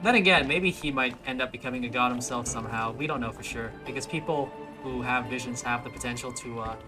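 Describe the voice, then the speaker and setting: A man's voice: mid-range voice, quick pace, low-quality recording. A young man giving casual let's play commentary over a video game, with game music behind him.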